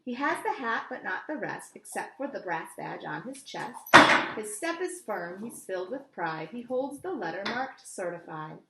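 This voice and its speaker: A woman reading a picture book aloud like a teacher, with expressive, deliberate storytelling and simple explanations for children. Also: medium pitch, gravelly, reverberant, very noisy.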